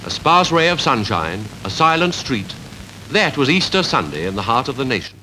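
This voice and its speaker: A man with a British Received Pronunciation accent reading scripted 1950s newsreel narration in a formal, measured, rhetorical way. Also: mid-range voice, sing-song, sharp tone, slight background noise, poor audio. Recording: 1951 archive newsreel with music behind.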